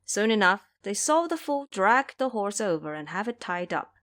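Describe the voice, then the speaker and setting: A woman's voice: mid-range voice, sing-song, moderate pace, a bit bright. A woman narrator telling a story in a dramatic storybook style for an audio drama.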